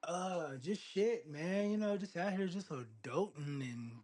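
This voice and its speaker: A man's voice: high voice, lively intonation, unhurried, a little mellow. A man co-hosting a podcast in casual chat, joking around, swearing freely and laughing often. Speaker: podcast co-host.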